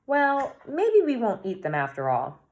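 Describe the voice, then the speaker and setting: Woman reading as an earnest young boy, raised pitch. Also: huge pitch swings, unhurried, darkish tone. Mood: curious. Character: curious, sensitive young boy. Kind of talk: children's novel read-aloud.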